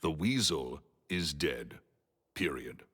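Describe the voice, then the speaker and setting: An actor playing a gruff, hard-nosed, impatient businessman in his late thirties with a booming voice, in a video game. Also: expressive, slow pace, a bit bright, heavily acted. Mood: irritated, dismissive. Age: middle-aged. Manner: booming, curt, impatient, intimidating. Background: background music.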